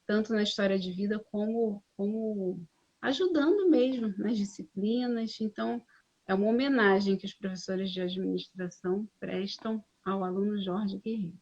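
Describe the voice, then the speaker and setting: A woman's voice: mid-range voice, normal speed, darkish tone, slightly rough. A woman teacher hosting a live class on a video call, speaking formally with frequent tag questions. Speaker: administration teacher, host of the live. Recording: dull lo-fi stream audio.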